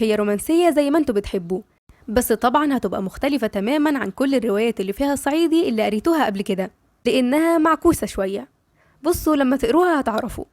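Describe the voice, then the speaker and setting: Fast-talking Egyptian woman with a cold. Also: on the high side, dry recording. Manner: warm, chatty, addressing listeners directly. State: has a cold. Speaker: YouTube novel narrator. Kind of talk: chatty intro then storybook narration.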